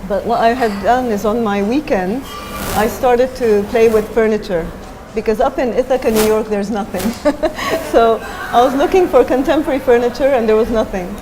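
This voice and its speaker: A woman's voice: a little high, normal speed, background noise. A middle-aged woman from Japan, a designer talking as a guest in an onstage interview, thoughtful and hesitant with many fillers.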